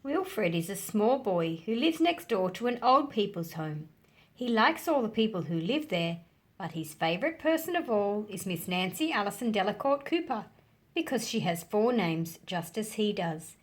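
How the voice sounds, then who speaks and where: Expressive Australian woman reading to children. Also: mid-range voice. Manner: explanatory, asks listeners simple questions, measured phrasing. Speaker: children's read-aloud host. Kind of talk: read-aloud storytelling for children.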